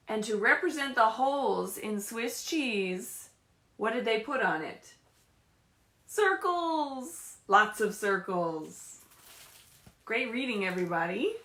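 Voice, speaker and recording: A woman reading and chatting to young children as a story time leader, animated and often prompting them with questions. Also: mid-range voice, echoey room. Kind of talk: read-aloud picture book storytelling for young children. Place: indoors at home.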